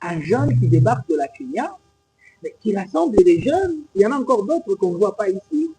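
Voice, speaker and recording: A man's voice: sits high, big pitch swings, brisk, mellow, husky, poor audio. A male TV host, asking pressing, insistent questions. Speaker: TV host, interviewer. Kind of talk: lively interview questions.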